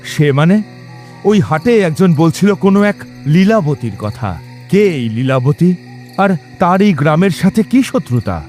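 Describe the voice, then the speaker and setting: A man plays a bold, righteous wandering tantric in a horror audio drama. His voice is a little high for a man, earnest and resolute, over soft background music. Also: sing-song, measured pace. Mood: curious.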